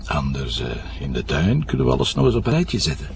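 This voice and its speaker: An actor with a Flemish accent playing a coaxing, flirtatious detective in a radio drama. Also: male voice, low-pitched, wildly swooping pitch, fast talker, creaky, slight background noise, heavily acted. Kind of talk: naturalistic radio drama acting.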